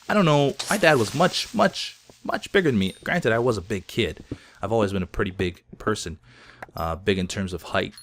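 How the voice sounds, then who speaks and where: A man in his thirties, somewhat hoarse, telling chatty, self-deprecating stories while he plays a game. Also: medium pitch, a little hiss or hum. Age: 30s. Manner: chatty storytelling, self-deprecating, laughs often. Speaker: gaming video host. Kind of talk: casual gameplay commentary.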